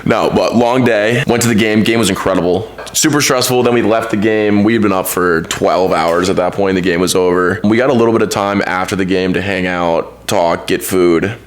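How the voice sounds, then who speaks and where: A man's voice: sits low, fairly even intonation, moderate pace, hardly any pauses. A man in his twenties from Texas, a podcast guest chatting casually into a podcast mic, laid-back and rambling, often trailing off and restarting. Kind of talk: casual chat. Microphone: podcast microphone.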